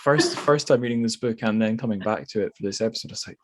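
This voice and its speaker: A man hosting a literary podcast in an informal, halting conversational way, thinking aloud as he goes. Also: on the low side. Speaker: podcast host interviewing a guest.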